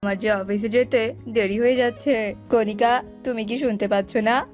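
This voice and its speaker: A woman's voice: fairly high pitch, fairly even intonation, normal speed, a little mellow, muffled recording, faint music behind, close to an everyday voice. A woman voicing a selfish male crow husband in a cartoon, scolding, impatient and dismissive. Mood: irritated.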